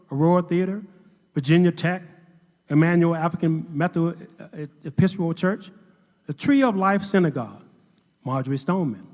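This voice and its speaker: Man acting a sarcastic narrator, higher-pitched. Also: darkish tone, lightly acted. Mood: bitterly weary. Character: bitterly sarcastic male narrator and social critic. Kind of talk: scripted audio drama monologue.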